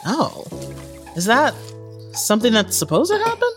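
An actress playing a caring, candid young girlfriend in an audio drama, casual and expressive. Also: on the high side, normal speed. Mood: upbeat, interested. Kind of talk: naturalistic audio drama acting. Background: music.

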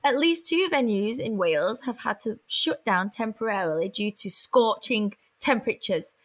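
A woman's voice: fairly high pitch, lively intonation, moderate pace. A young British woman chatting playfully as co-host, reading light news and giggling over hard place names. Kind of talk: casual chat and news reading.

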